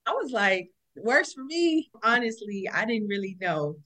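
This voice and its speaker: A woman from Houston, Texas, a podcast guest calling in remotely, telling a personal story in an animated way and acting out what people said. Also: medium pitch, a little slow. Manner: animated storyteller, acts out quotes.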